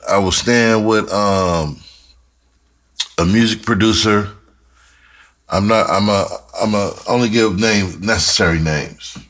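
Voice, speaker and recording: Man, very low voice, unhurried pace, casual vlog storytelling, halting delivery. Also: fairly bright tone. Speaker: musician and vlog host.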